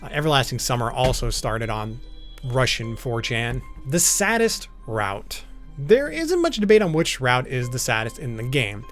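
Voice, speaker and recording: A man's voice: medium pitch, sing-song, moderate pace, a bit bright, faint music behind. A man narrating an explainer video in an informal, semi-scripted way.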